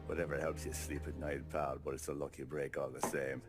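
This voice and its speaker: An actor playing a skeptical, hard-boiled American interrogator in a crime game, needling in gruff, sardonic old-time slang. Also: male voice, very deep voice, flat delivery, a little fast. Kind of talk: 1930s crime drama game acting. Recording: music behind the voice.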